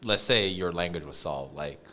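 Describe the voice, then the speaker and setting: A man from Korea hosts the conversation in a very low voice. He works his questions out with many fillers and restarts, and murmurs along while his guest talks. A faint background hiss runs under the recording. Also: moderate pace, pushed and bright. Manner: searching phrasing, many fillers, backchannels. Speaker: host. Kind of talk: conversational interview.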